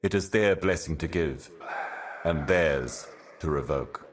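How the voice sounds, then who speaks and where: An ancient cosmic envoy speaks in an exceptionally low, bottomless male register. The delivery is measured and grave, like a prophet reciting cryptic scripture for a dark fantasy game. Every phrase carries solemn, portentous weight. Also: background noise. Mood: solemn, ominous. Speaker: video game character.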